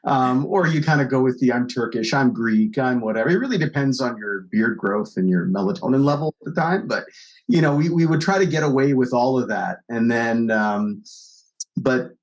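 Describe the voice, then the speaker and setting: A man's voice: medium pitch, expressive, normal speed, lo-fi sound. A man in his forties from Canada, a podcast guest telling stories in a loose, rambling way with lots of fillers. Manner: rambling storyteller, leans on fillers. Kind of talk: casual conversation.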